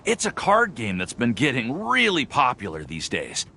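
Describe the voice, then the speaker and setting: A man's voice: mid-range voice, moderate pace, a little hiss or hum, heavily acted. A man playing an affable, awkward young alchemist in a video game, chatty and easily flustered. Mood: amused, enthusiastic. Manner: hesitant, friendly, animated. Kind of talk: video game character acting. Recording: music playing behind the voice.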